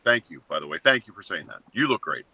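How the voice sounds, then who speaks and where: Blunt bright-voiced man in his seventies. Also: low-pitched, quick pace, husky, dry recording. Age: 70s. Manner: blunt, joking, rants in short flat statements. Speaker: co-host. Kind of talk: casual chat.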